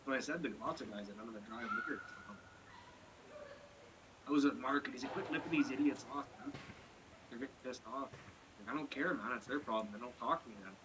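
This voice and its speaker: A man's voice: medium pitch, fairly quick, pauses often, noisy background. A man on a casual podcast talking bluntly and profanely in long rambling stretches. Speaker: podcast co-host. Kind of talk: casual chat.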